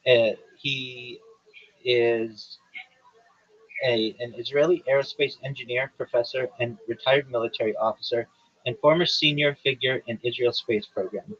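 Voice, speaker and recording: A man hosting a livestream who reads a prepared statement in a measured, formal way and then slips into casual, hesitant chat. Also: mid-range voice, fairly even intonation, a bit bright, highs cut off. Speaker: livestream host.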